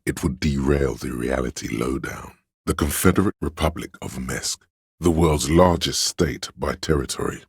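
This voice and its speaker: A man voicing a learned, pedantic inner voice for a video game, narrating trivia in an erudite, measured, matter-of-fact way with dry asides. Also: no room sound, full character voice. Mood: measured, scholarly. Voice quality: very deep, husky.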